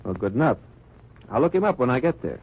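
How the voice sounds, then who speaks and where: A man's voice: medium pitch, sing-song, quick pace, breathy edge. An actor playing an undercover wartime agent posing as a wine merchant, narrating his mission in an old radio drama. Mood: brisk, alert. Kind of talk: 1940s-50s radio drama acting and narration. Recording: dull old radio recording with music.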